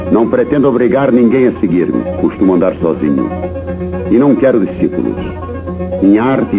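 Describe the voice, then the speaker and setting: A Brazilian man delivering a dramatic first-person literary reading, in a dull recording with guitar behind. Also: sits low. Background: plucked guitar music.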